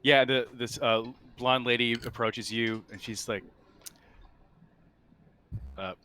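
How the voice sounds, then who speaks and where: A man narrating a tabletop roleplaying game as game master, casually and in halting, improvised phrases. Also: mid-range voice, fairly even intonation, sharp tone, a little hiss or hum.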